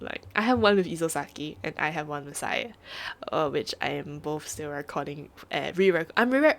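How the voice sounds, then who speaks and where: A young woman chatting casually on a live stream, sniffly from a runny nose, rambling and laughing at herself. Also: fairly low pitch. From Singapore. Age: young adult. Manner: giggly, rambling, self-mocking. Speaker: VTuber streamer. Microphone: home streaming microphone.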